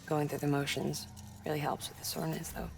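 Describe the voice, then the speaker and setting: A woman playing a calm, wry young mother and scientist in a video game, soft-spoken and reassuring. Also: deep voice, a little fast, gravelly. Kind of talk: video game cutscene acting.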